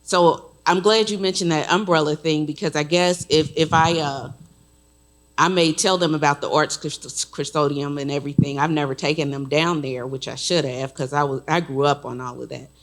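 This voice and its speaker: A woman's voice: low voice, fairly even intonation, moderate pace. A woman from the audience sharing a rambling, personal comment at a panel. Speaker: audience member.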